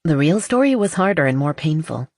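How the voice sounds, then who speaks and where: A woman's voice: sits low, sing-song, a little fast, darkish tone, no room sound, studio quality. A woman reading a romance novel as scripted audiobook narration.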